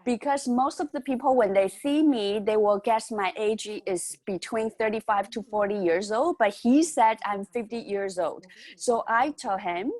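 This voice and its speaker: A woman's voice: on the high side, normal speed, darkish tone, no echo. A woman in her fifties giving an online training talk as guest speaker, telling personal stories in a chatty way with non-native phrasing. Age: 50s.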